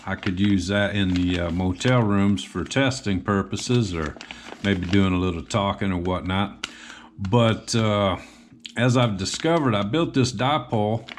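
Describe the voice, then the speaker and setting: Male hobbyist host, lowish voice, informal and hesitant hands-on demo delivery, some background noise. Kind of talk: casual show-and-tell of electronics gear.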